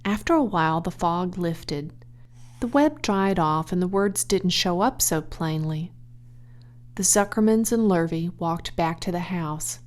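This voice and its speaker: Expressive woman reading a storybook aloud. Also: lowish voice, unhurried. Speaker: audiobook narrator. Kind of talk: scripted storybook narration.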